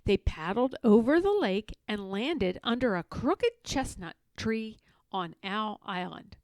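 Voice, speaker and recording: A woman narrating a children's storybook aloud in an expressive, measured read-aloud manner. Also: medium pitch, no echo. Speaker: storybook reader.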